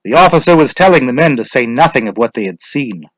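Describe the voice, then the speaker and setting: Expressive male story narrator. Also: mid-range voice, fast talker, low-quality recording. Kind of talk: dramatic scripted story narration.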